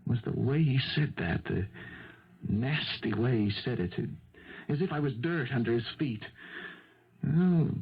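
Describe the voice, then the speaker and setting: An actor playing a defensive, hot-tempered man in his twenties in a 1970s radio drama, stammering and excitable. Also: mid-range voice, a little slow, vocal fry, long pauses, slightly rough, full character voice. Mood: agitated. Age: 20s. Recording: muffled 1970s radio broadcast.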